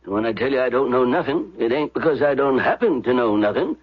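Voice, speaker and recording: An actor playing a cagey, streetwise hotel manager in a radio drama, talking evasively and hesitantly in ungrammatical slang. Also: male voice, mid-range voice, animated, a little fast, breathy edge. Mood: cagey, defensive. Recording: muffled 1970s radio broadcast recording.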